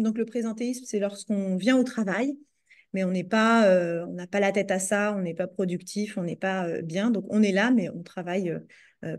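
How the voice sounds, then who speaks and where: A woman's voice: mid-range voice, a little mellow. A woman with a French accent, presenting her doctoral research to a group over a video call, hesitating often with fillers and restarts. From France. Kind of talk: academic presentation.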